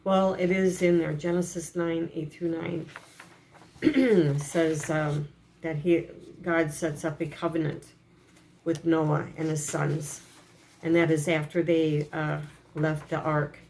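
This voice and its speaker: Slow hesitant woman with a froggy voice. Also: low-pitched, level tone, gravelly, a little mellow, a little hiss or hum, low-quality recording. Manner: hesitant, many fillers. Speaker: religious teacher. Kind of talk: reading and explaining a religious text.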